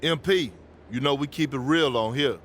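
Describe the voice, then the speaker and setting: A man's voice: medium pitch, moderate pace, natural voice. An actor playing an incredulous, confrontational male sports talk-show panelist in a video game, speaking emphatically and repeating himself for effect. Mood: provocative. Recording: clear background noise.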